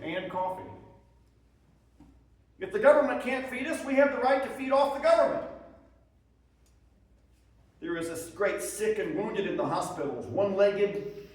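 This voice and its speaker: Man acting a folksy Civil War soldier, echoey room. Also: sits high. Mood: defiant, then somber. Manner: plain-spoken, folksy storytelling with rustic grammar. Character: weary, defiant Civil War soldier writing home to his wife. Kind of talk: dramatic reading of wartime letters. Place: live presentation in an echoey room. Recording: echoey room, distant microphone.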